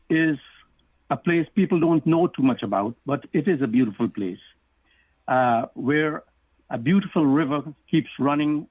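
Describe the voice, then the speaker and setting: A middle-aged man from Guyana, an author answering interview questions in a measured, explanatory way. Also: mid-range voice, no echo. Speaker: author and guest. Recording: tinny phone line.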